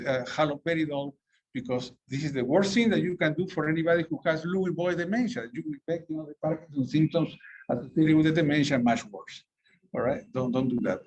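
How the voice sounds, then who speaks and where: A man's voice: fairly high pitch, breathy edge. A man from South America giving a rambling medical lecture as a physician, full of fillers and restarts. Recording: dull lo-fi recording.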